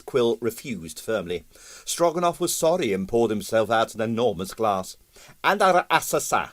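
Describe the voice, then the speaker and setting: A man's voice: on the low side, expressive, normal speed, no room sound. A man reading a story aloud as dramatic scripted narration. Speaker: audiobook narrator.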